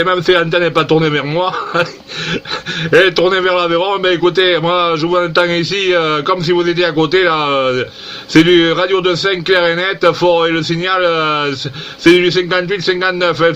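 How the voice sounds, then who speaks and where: A man's voice: fairly high pitch, steady tone, normal speed, pushed and bright, clear, resonant tone. A man chatting casually as an amateur radio operator, amazed and repeating himself. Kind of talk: casual CB-style radio contact. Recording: dull lo-fi radio contact recording.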